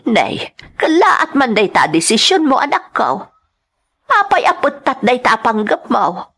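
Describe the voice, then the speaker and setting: A woman playing a gentle, worried mother of a grown son in a radio drama, tender and hesitant. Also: fairly high pitch, sing-song, a little slow, breathy edge, full character voice. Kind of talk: Ilocano radio-drama acting.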